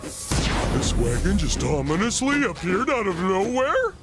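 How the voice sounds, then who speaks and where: A man's voice: high voice, huge pitch swings, a little slow, heavily acted. A man voicing a dim-witted, good-natured male starfish in a cartoon game, with a thick, goofy, drawling voice. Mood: puzzled, slow. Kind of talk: cartoon game cutscene acting. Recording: music under the voice.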